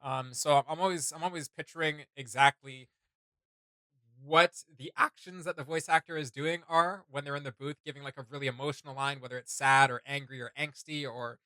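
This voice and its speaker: A man with a bright, forward voice talks casually as the host of a reaction show. He rambles enthusiastically, thinking out loud as he goes. The sound is close and dry. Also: medium pitch, fairly even intonation, a little fast, no echo. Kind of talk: casual chat.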